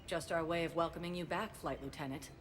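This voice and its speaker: A woman's voice: deep voice, steady tone, fast, close to an everyday voice. An actress playing a formal, courteous female docking controller in a sci-fi game, in long reverb with faint noise. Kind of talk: video game cinematic dialogue acting.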